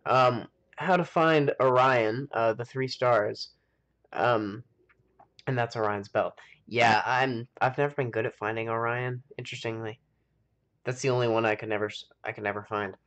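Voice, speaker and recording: A man's voice: mid-range voice, fairly even intonation, normal speed, fairly bright tone, muffled recording. An American man hosting a game live stream, chatting casually and rambling as he thinks aloud. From United States. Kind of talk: casual chat while playing a game.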